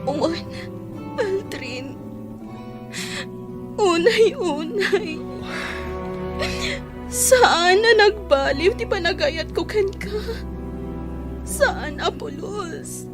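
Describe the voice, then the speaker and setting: An actress with an Ilocano accent playing a lovesick, tearful young mother in an emotional radio drama, with plaintive, drawn-out delivery. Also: extremely high pitch, lively intonation, heavily acted. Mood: tearful devotion. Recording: radio drama with loud music bed.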